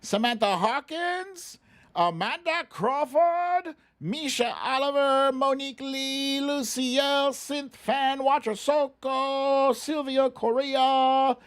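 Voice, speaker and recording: A man's voice: very high-pitched, slow, fairly bright tone. A middle-aged man hosting a live stream, chatting casually and playfully as he runs a guessing game and reads out names. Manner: playful, hums and recites lyrics. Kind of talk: casual chat, running a song guessing game and reading out names.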